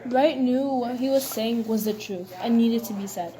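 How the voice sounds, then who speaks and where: A woman with a fairly high voice and a very mellow, rounded tone. She co-presents the news, reading a prepared report aloud. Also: moderate pace, rough recording.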